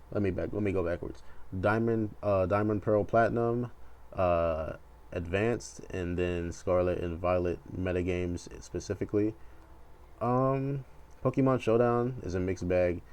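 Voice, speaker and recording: A man's voice: low voice, darkish tone. A young man chatting casually and thinking aloud as he hosts a video, laid-back and unscripted in a hesitant, rambling way. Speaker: video creator and host. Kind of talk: casual rambling chat while drawing. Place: bedroom at home, indoors. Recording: home recording with faint background noise.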